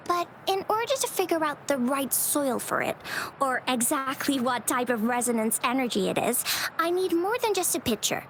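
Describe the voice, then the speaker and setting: High, lively young female botanist for a game, shy but eagerly precise. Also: moderate pace, slight background noise. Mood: absorbed, informative. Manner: precise and explanatory, modest. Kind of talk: video game voice acting.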